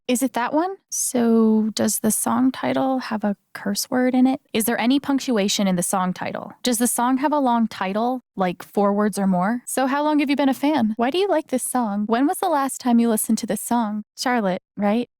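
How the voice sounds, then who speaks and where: Actress as guarded young woman, creaky casual voice. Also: mid-range voice, a little mellow, dry recording, studio quality, natural voice. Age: young adult. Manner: casual, hesitant, understated. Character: guarded, wry young woman, self-doubting and sincere. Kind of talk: naturalistic video game voice acting.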